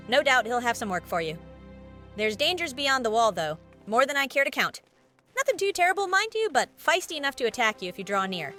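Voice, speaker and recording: An actress playing a brisk, plain-spoken innkeeper with a British accent and a frank, chatty manner in a video game. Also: female voice, on the high side, a bit bright. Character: brisk, plain-spoken woman innkeeper running an adventurers' guild. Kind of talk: scripted video game cutscene acting.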